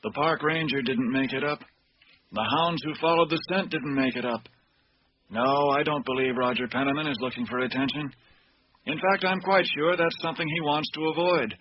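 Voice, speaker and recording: An actor playing a composed, analytical investigator in a radio mystery, speaking formally and deliberately on an old cassette recording. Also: male voice, fairly high pitch, natural voice. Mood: calm, assured. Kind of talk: radio drama acting. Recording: dull, noisy old cassette tape.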